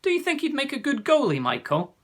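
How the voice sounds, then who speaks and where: A man's voice: extremely high pitch, brisk, voice pushed up, full character voice. A man reading aloud, voicing a cheerful, polite young schoolboy. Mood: friendly. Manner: lively and friendly.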